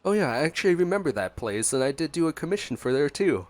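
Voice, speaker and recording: A man's voice: on the high side, sing-song, fast. A man giving casual, slangy, chatty reaction commentary as he plays a video game. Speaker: gaming streamer. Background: faint game music.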